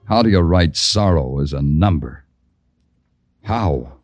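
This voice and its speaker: Low-voiced brooding radio noir detective. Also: male voice, expressive, takes it slowly. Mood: brooding, sorrowful. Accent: American. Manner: brooding, lyrical, hard-boiled. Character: world-weary, poetic American male police detective. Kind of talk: 1940s-50s radio noir narration and acting. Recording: dull old radio broadcast, loud music.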